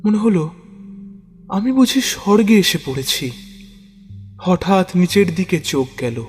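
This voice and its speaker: A man voicing an awestruck tantric straining through a ritual in an audio story, theatrical and halting. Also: high-pitched, lively intonation, a little mellow, pitched above the performer's own voice. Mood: awed, startled. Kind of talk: audio story dramatic monologue. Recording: long reverb, music bed underneath.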